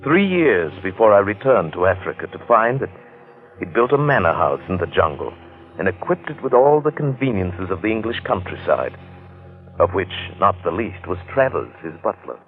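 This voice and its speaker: Expressive low-voiced gentleman, vintage radio drama. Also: normal speed, phone-quality sound, soft background music. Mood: reflective narration. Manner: genteel, expressive, old-fashioned phrasing. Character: John Buchan, affable curious London businessman recounting his strange tale. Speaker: narrator and lead actor. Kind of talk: old-time radio drama acting and narration.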